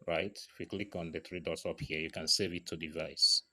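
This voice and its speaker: Low-voiced man giving a casual tutorial. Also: fairly even intonation, fairly quick, sharp tone. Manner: frequent check-in tags like right and okay. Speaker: tutorial presenter. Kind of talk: casual step-by-step screen tutorial narration.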